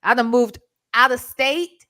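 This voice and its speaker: A woman's voice: fairly high pitch, unhurried, sharp tone. A woman hosting a livestream, giving casual, slangy, blunt commentary and reading out chat messages. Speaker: livestream host.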